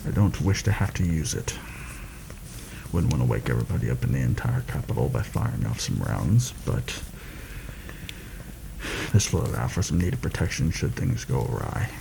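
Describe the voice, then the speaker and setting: A man playing a seasoned, wry assassin in a soft-spoken ASMR roleplay, talking in a hushed, measured, conspiratorial way with a gravelly voice. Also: a bass voice, sing-song, full character voice. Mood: calm, wary. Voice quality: gravelly, creaky. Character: seasoned, wry rebel assassin planning a palace heist. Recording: noticeable background noise.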